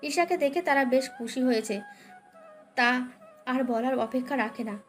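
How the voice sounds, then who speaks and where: A woman's voice: high voice, normal speed. A woman narrating a dramatic romance story as a scripted audio tale. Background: background music.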